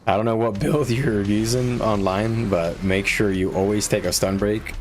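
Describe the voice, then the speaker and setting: Low-voiced man chatting on a game stream. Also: moderate pace, noisy background. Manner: informal, conversational, explaining advice to viewers. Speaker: game livestreamer. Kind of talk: casual live gaming stream chat. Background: music.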